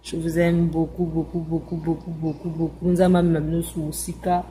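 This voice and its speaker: A woman leaving a soft, affectionate and repetitive phone voice message. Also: sits low, little pitch movement, normal speed, slight background noise. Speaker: group member. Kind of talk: casual voice message.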